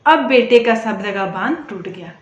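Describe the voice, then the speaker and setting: A woman's voice: medium pitch, animated, moderate pace. A woman telling an emotional moral story, speaking directly to her listeners as a storyteller. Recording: echoey room, dull sound, faint noise.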